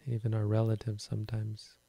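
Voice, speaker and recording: Quiet, gravelly male meditation teacher. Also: fairly low pitch, no echo. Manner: slow, calm, reflective. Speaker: Buddhist teacher. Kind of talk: dharma talk.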